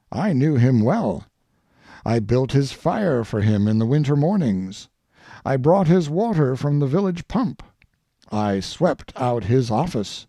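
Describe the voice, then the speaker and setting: A man reading a humorous old speech aloud as scripted narration, in a measured storytelling delivery with deliberate pauses. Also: mid-range voice, gravelly, warm tone, no echo. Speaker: audiobook reader.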